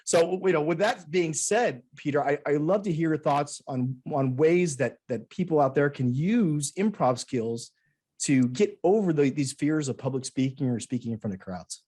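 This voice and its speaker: A man's voice: fairly high pitch, lively intonation, normal speed, a little mellow, husky. A man hosting a podcast, chatty and rambling as he tells a self-deprecating personal story. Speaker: podcast host. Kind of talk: casual interview chat.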